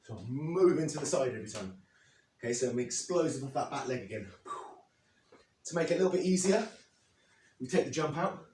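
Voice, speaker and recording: Croaky man coaching a workout. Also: mid-range voice, lively intonation, fairly quick, pauses often, a little mellow, a little hiss or hum. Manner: plain, step-by-step coaching while demonstrating moves. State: hoarse, croaky after losing voice. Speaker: fitness coach. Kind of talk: instructional workout demo. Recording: echoey, from a distance.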